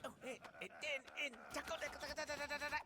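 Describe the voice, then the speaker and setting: An actor playing a goofy, irreverent male antihero in a video game, zany and sing-song, over loud noise. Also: very high voice, fairly even intonation, very fast. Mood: silly glee. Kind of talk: video game cutscene acting. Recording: loud noise and clicks behind.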